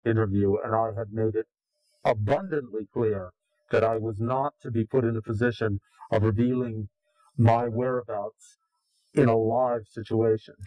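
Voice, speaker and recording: A man's voice: fairly low pitch, fairly even intonation, moderate pace, dark tone, dry recording, low-quality recording. A man being interviewed, speaking in a contemptuous, indignant way. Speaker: anonymous interviewee. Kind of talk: recorded interview.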